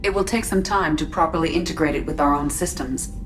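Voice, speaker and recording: Video game ship AI, female, low-pitched, calm, precise, formal, slightly far from the mic. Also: brisk. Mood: calm, clinical. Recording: slightly distant, faint music behind.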